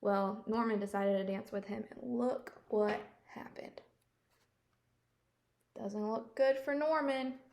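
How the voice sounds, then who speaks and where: Woman reading a picture book slowly. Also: mid-range voice, gravelly. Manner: expressive, explanatory, addressing young children. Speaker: storyteller. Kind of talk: children's picture-book read-aloud with questions to listeners.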